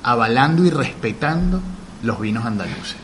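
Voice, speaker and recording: A man from Venezuela talks about his vermouth bar in passionate detail, often pausing on small hesitations. A clear background noise sits under his voice, and his pitch is mid-range. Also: very animated. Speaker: guest, vermouth bar owner. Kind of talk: interview.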